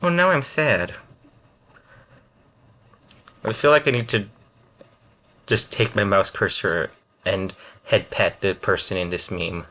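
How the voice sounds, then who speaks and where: A man giving casual, unscripted reaction commentary as a video host, in a halting, rambling way with thoughts that trail off. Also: lowish voice, sharp tone.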